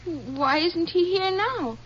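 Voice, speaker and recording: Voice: extremely high pitch, expressive, takes it slowly, a bit bright, full character voice. A performer playing a tearful, feisty young rancher's daughter in an old radio drama. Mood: anxious, insistent. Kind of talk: 1950s radio drama acting. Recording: dull vintage radio, faint noise.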